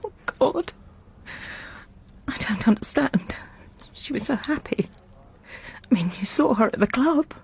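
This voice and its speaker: Young woman, high voice that moves around loads, breathy, emotional. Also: expressive, unhurried, pauses often, a little mellow, whispered, exaggerated voice. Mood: shaken, bewildered. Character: friendly young female radio colleague, emotional and vulnerable. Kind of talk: radio drama acting.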